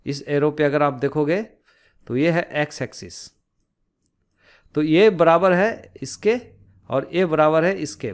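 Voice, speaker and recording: Higher-voiced man teaching step by step. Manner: explanatory, asks and answers own questions; mixes English technical terms into speech. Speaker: tutorial instructor. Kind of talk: informal step-by-step tutorial narration.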